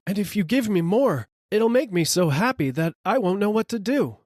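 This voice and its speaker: A man's voice: high-pitched, expressive, normal speed, darkish tone, no echo, clean studio sound, pitched above the performer's own voice. A man voicing a desperate, freezing poor man who humbly cries out and begs for coal in an audiobook story. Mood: desperate pleading. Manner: pleading, humble, crying out.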